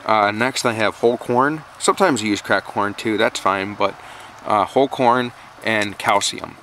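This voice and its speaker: A man's voice: fairly low pitch, normal speed, pushed and bright. Informal man talking over background noise. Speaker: backyard chicken keeper and vlogger. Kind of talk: casual how-to vlog talk. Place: outdoors in a yard and inside a small wooden coop. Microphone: handheld camera, operator behind it. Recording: noisy handheld camera audio.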